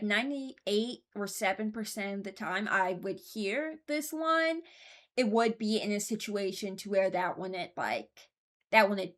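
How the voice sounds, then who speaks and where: Rambling young woman, casual and unscripted. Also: mid-range voice. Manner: rambling, hesitant, thinking aloud. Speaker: video host ranking game voice lines.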